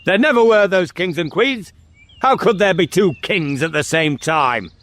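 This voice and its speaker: A man's voice: very high voice, wildly swooping pitch, moderate pace, pitched above the performer's own voice, exaggerated voice. A man voicing a stern, short-tempered king and uncle in a storybook, imperious and scolding. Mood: thunderous, angry. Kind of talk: dramatic storybook character reading.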